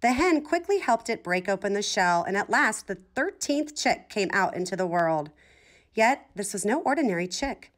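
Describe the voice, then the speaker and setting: Woman reading a storybook with lively pitch. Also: normal speed. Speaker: storybook reader. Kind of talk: expressive read-aloud of a children's storybook.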